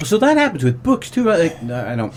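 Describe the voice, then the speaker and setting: A man's voice: on the high side, wildly swooping pitch, brisk, darkish tone, slight background noise. A man hosting a radio show in a casual, conversational way. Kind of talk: casual chat.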